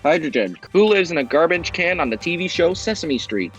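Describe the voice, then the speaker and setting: A man hosting an amateur quiz show, upbeat and brisk as he announces questions. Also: a little high, big pitch swings, soft background music.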